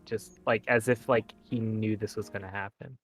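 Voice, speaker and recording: A man's voice: on the low side, fairly even intonation, normal speed, faint music behind, poor audio. A man playing a tabletop roleplaying game, casually narrating what his character does.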